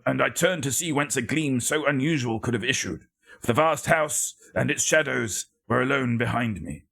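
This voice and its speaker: A man narrating a gothic story as a dramatic reading, theatrical and measured, with deliberate phrasing. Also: medium pitch, creaky, bright, forceful tone.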